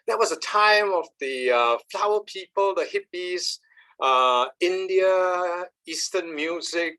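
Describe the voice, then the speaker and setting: A man, giving an animated, emphatic talk on meditation and often checking in with "all right?". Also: a little high, rough recording. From Malaysia. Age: 70s. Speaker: speaker on meditation. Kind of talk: talk with slides.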